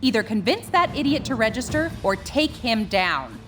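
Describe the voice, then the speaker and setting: A woman's voice: a little high, very animated, moderate pace. A woman playing a curt, condescending government official in a video game. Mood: smug, cold. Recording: game music behind voice.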